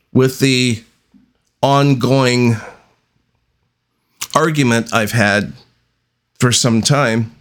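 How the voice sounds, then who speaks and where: Slow, deliberate man hosting a podcast. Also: mid-range voice, a bit bright. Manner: deliberate, halting delivery with long pauses. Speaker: podcast host. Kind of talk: unscripted solo podcast monologue.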